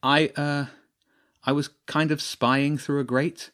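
A young boy adventurer read by a man in a children's story. He sounds earnest, sheepish and hesitant, with animated pitch. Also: a little fast.

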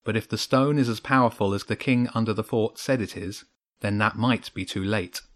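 A man's voice: fairly low pitch, moderate pace, natural voice. A man voicing an earnest, worried boy adventurer in a children's audiobook, a little hesitant. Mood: uneasy.

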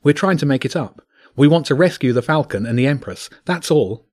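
Guy doing a worried young schoolgirl, pitched up, earnest and pleading, audiobook style. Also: animated, brisk, darkish tone, no room sound, studio quality, natural voice. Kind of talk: audiobook character voice.

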